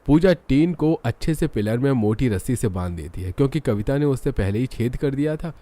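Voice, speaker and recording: Mellow male story narrator. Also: medium pitch, animated, brisk, no echo. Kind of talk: scripted Hindi cartoon story narration.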